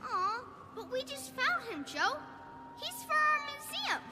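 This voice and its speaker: Squeaky, excitable little boy. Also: slow, over loud music, full character voice. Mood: pleading. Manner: excitable, dramatic, plaintive. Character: frightened, whiny little boy named Benny. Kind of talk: animated film dialogue.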